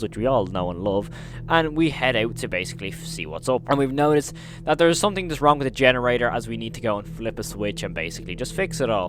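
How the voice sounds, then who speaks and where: A man with a medium-pitched voice delivers casual commentary as a video host. He retells scary scenes in an emphatic storytelling manner, piling on intensifiers. A noticeable hum sits in the recording.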